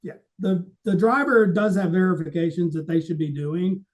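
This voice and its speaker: A man's voice: high-pitched, normal speed. A man in a casual industry discussion, explaining things in a matter-of-fact way as an expert on the trade.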